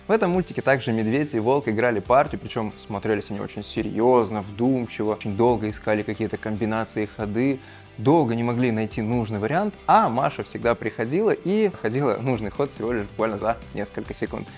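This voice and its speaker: Fast-talking, low-voiced man presenting. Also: animated, a little mellow, faint music behind. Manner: explanatory storytelling. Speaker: chess coach, video presenter. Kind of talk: educational video presentation for parents and children.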